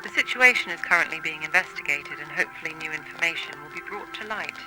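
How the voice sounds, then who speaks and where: A man's voice: a little high, fast, natural voice, rough recording. A man playing a polite, apologetic television continuity announcer, reading a scripted statement. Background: music.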